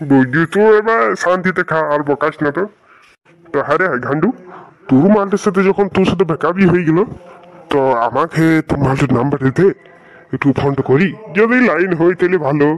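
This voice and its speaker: A man's voice: a little high, fairly quick, natural voice. A man playing a carefree, cheeky drinker in a comedy cartoon, brash and teasing. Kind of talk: Bangla comedy cartoon dubbing. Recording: dull lo-fi sound.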